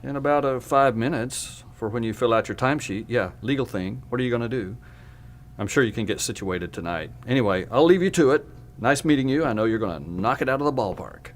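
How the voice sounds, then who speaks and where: An actor playing a laid-back boss who gives casual, breezy instructions in a naturalistic audio drama. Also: male voice, medium pitch, lively intonation, brisk, natural voice. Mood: breezy, instructing. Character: laid-back male boss briefing a new archivist.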